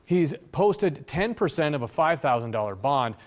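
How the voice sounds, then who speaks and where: A man's voice: fairly high pitch, big pitch swings, normal speed. An American man giving a scripted TV news report as a reporter in a news center.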